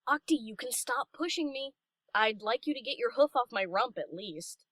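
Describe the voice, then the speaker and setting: A woman's voice: on the high side, animated, normal speed, fairly bright tone, no room sound, studio quality. A woman voicing a brash young DJ pony, casual and teasing, in an audio story. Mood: cheeky. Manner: casual, cheeky, sardonic. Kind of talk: character acting in audio story reading.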